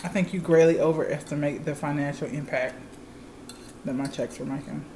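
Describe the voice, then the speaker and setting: Candid low-voiced woman in her thirties. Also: fairly even intonation, a little fast, reverberant, noisy background. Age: 30s. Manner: candid, chatty, explains plainly. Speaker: channel co-host. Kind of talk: casual chat.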